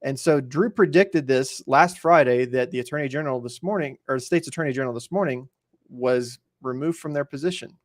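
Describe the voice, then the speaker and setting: Guy cohosting a podcast, regular pitch, chatty n colloquial, no room sound. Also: a little fast, dry recording, studio quality. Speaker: podcast co-host. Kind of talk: casual chat, explaining news.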